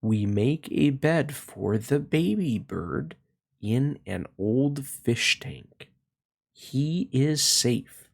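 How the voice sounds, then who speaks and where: A man hosting a read-along, reading a children's picture book aloud in a slow, expressive way and then chatting about it. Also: gravelly. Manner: slow, expressive storytelling with swooping pitch.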